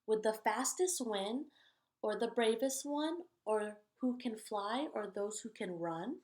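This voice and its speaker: A woman hosting a read-aloud of children's picture books, delivering rhymes with expressive emphasis and chatting casually in between. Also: on the high side, slow.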